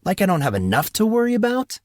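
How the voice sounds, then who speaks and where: A man's voice: sits high, wildly swooping pitch, quick pace, no echo, clean studio sound, pitched above the performer's own voice. A man voicing a sarcastic, easily flustered teenage boy student in an audiobook story, casual and defensive. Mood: grumbling.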